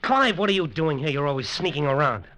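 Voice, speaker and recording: An actor playing a tough, suspicious, hot-tempered resort builder in an old radio drama. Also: male voice, fairly high pitch, lively intonation, fairly quick, sharp tone. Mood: angry. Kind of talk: 1940s-50s radio drama acting, first-person narration. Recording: muffled vintage radio recording.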